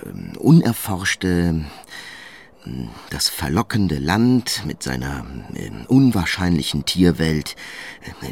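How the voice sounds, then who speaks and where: A man's voice: rumbling low, creaky, slightly rough, heavily acted. An actor in a German radio play playing an ambitious, charming young Frenchman who is gallant and easily frustrated. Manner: gallant, halting when searching for words.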